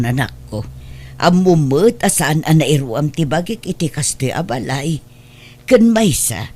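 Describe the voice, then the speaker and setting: Casting: woman as elderly Ilocano mother, gentle yet stubborn, lowish voice, family radio drama. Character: stubborn, gentle elderly mother attached to her old house.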